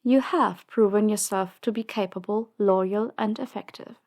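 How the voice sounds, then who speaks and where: A woman's voice: mid-range voice, a little mellow, natural voice. A woman playing a silky, commanding crime boss. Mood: stern, commanding. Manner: measured, condescending, coolly polite. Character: commanding female crime boss, silky, menacing, power-hungry. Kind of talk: audio drama roleplay acting.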